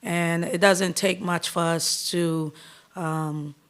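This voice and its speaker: A woman coach in her thirties from the Bahamas, answering press questions in an upbeat, candid way with quick restarts. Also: on the low side, fairly even intonation. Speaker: head basketball coach.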